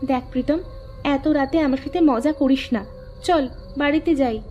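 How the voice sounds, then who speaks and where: A woman playing a bossy, teasing young woman in an animated story, with music in the background. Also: high-pitched, moderate pace, lightly acted. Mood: sceptical. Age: young adult. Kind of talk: Bengali animated story dialogue.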